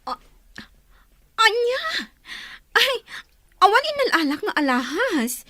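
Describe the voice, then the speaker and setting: A woman acting a distraught young accused woman, shrill and wailing, in an Ilocano audio drama. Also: sing-song, slow pace, sharp tone, no echo, clean studio sound, heavily acted. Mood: shocked, protesting.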